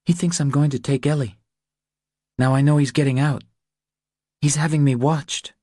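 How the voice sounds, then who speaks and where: A man voicing an anxious, frightened woman in an audiobook, with thin, breathy, urgent questions and pleas. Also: a little high, fairly even intonation, moderate pace, darkish tone, dry recording, studio quality, pitched above the performer's own voice, lightly acted. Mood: anxious, afraid. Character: anxious, frightened adult woman seeking protection. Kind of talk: audiobook character dialogue.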